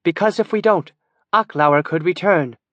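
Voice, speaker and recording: A man's voice: on the high side, moderate pace, fairly bright tone, no echo, highs cut off, voice pushed up, natural voice. A man voicing a proud, stern female elf wizard who speaks in a commanding, cryptic way. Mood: urgent.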